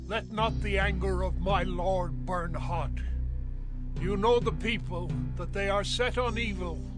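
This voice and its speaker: A man's voice: high-pitched, measured pace. A man playing a defensive, pleading man who makes excuses, in a dramatized audio Bible. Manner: pleading, self-justifying. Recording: music behind the voice.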